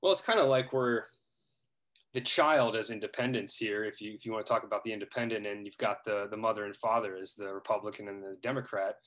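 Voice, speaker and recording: A man's voice: fairly low pitch, fast, a bit bright. A man in his thirties from Ohio, a guest in a casual interview, talking earnestly with lots of hesitations. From Ohio, United States. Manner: earnest, hesitant, many fillers and restarts.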